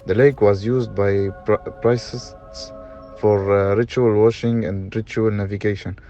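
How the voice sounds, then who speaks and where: A man reading scripted documentary narration in a halting way, with stumbles, on a dull lo-fi recording. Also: lowish voice, steady tone, dark tone, faint music behind. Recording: dull, lo-fi sound.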